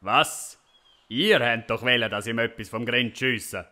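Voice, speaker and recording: An actor playing a gruff, curt Swiss folk hero in a Swiss German comic audio drama, blunt and dismissive. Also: male voice, medium pitch, unhurried, bright, forceful tone. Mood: defensive, clueless.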